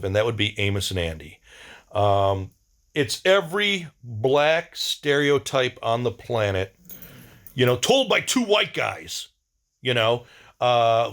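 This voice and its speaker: A man's voice: mid-range voice, fairly bright tone. A man giving a casual, rambling diary-style monologue, enthusiastic and informal, with some slang. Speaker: video diary host.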